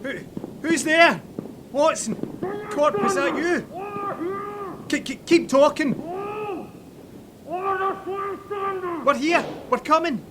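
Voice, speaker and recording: An actor playing a plucky, stubborn young Glaswegian soldier, gruff and urgent but reassuring, in an audio drama. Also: male voice, extremely high pitch, animated, takes it slowly, pauses often, full character voice. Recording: battlefield noise behind.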